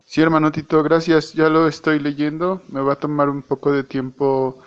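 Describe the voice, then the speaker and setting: Hesitant Mexican man, flat voice. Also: fairly high pitch, moderate pace, smooth, dull audio. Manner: hesitant, repeats and corrects himself. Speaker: church member. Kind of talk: casual voice message.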